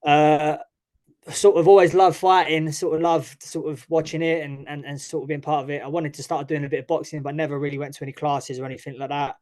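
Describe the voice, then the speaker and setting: A man's voice: a little high, level tone, brisk, darkish tone. A young man with an East London accent, a fighter talking casually as an interview guest, full of restarts and fillers. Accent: East London English. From East London, England. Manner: chatty, frequent restarts and "obviously". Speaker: guest, mixed martial arts fighter.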